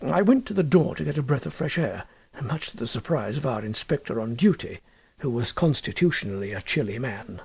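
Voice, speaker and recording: Guy narrating an old story, measured but really animated, gravelly and husky. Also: mid-range voice. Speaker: audiobook narrator. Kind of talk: expressive scripted first-person story narration.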